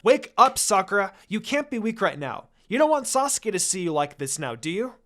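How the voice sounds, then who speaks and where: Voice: mid-range voice, very animated, normal speed, a bit bright. A narrator voicing a determined, hot-tempered young ninja girl in a story reading, insistent and scolding.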